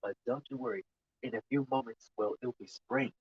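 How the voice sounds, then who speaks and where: A man's voice: medium pitch, steady tone, a little fast, breathy edge, dry recording, highs cut off. A man in his mid-twenties chatting casually to viewers on a live stream outdoors, rambling and often repeating or restarting his phrases.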